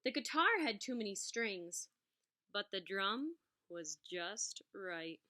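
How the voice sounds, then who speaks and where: A woman reading a picture book aloud as a teacher, adding expressive explanatory asides for young children. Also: mid-range voice, takes it slowly.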